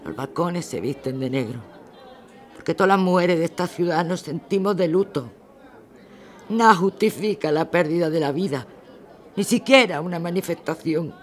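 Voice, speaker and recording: A woman's voice: on the low side, normal speed, a faint crowd, lightly acted. A woman playing a weary, grieving leader of a women's protest, solemn and plain-spoken, in a radio drama. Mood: mournful, bitter.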